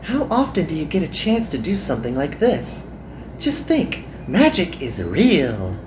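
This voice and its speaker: A man's voice: a little high, huge pitch swings, measured pace, darkish tone, reverberant, a little hiss or hum, pitched above the performer's own voice, rough recording. A man voicing an earnest, perky young magical girl from an anime game.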